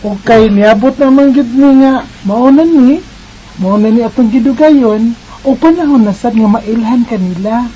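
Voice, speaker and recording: Woman acting an earnest, high-voiced young woman in love. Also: unhurried, mellow, muffled recording, slight background noise, lightly acted. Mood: hopeful, coaxing. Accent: Filipino, Visayan. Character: young single woman in love, earnest and trusting letter-writer. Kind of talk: Bisaya radio drama acting and narration.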